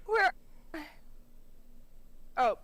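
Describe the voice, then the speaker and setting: A woman's voice: very slow, fairly bright tone. A woman casually co-reading fan fiction, silly and giggly, on a poor amateur microphone. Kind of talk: casual, playful line reading and chat.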